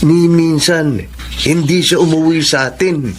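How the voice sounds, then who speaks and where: An actor playing a doubting, resentful grown son in a radio drama, sounding sceptical and bitter, with a Filipino accent. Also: male voice, on the high side, steady tone, unhurried, faint music behind, close to an everyday voice.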